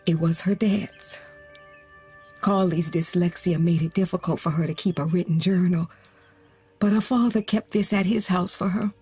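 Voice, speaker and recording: Bereaved middle-aged mother, breathy husky voice, unhurried, gentle and earnest, audio drama, soft music behind. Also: lowish voice, fairly even intonation. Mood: tender, wistful. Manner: slow, gentle, earnest delivery with pauses. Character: grieving, consoling mother who lost her teenage daughter. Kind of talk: naturalistic audio drama acting.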